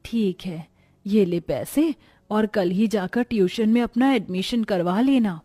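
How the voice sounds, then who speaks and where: A woman's voice: mid-range voice, a little slow, mellow, soft background music, lightly acted. A woman voicing a weary, self-sacrificing poor mother in a cartoon moral story, earnest and plaintive.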